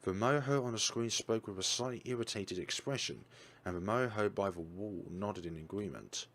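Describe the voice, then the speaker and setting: A man reading a visual novel aloud for his channel, in a steady voice with some slips and restarts. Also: lowish voice, moderate pace. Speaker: game reader.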